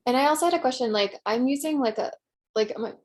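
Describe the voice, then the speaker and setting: A woman's voice: high-pitched, fast. A woman student talking casually and tentatively in a class discussion, peppering her speech with filler words. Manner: tentative, heavy use of "like".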